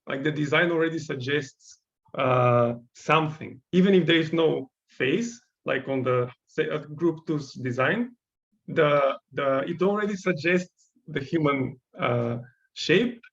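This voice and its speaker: A man with a Bulgarian accent, whose first language is Bulgarian, teaching a workshop and giving encouraging, thoughtful feedback on student designs while often pausing to search for words. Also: a little high, lively intonation, a bit bright, lo-fi sound. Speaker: workshop instructor.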